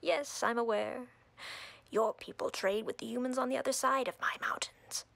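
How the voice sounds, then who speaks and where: A woman playing an imperious, coldly flirtatious drider queen in a roleplay audio, breathy and whispery, speaking haughtily and deliberately with playful menace. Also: a little high, steady tone, fairly bright tone, no echo. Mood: imperious, reasoning.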